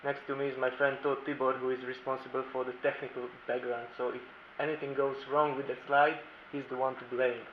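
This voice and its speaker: A man's voice: mid-range voice, flat delivery, brisk, hardly any pauses. A man from Slovakia whose first language is Hungarian, a lawyer giving a formal prepared presentation at a roundtable and reading from his notes. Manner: formal, reads from notes, careful non-native phrasing. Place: conference room. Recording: echoey room, dull sound, faint hiss.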